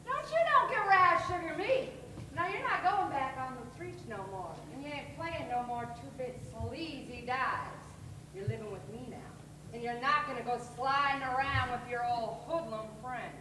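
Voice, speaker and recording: A woman's voice: high-pitched, moderate pace, close to an everyday voice. A woman on stage playing a feisty, bossy diner waitress who talks in a sassy, folksy, scolding way. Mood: angry scolding. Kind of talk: amateur stage play acting. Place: theatre stage, far camera. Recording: echoey theatre hall from far off, noisy.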